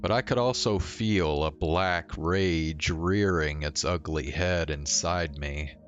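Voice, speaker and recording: A man's voice: low voice, slow, highs cut off. A man reading visual novel narration aloud in a dramatic first-person storytelling style. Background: faint game music.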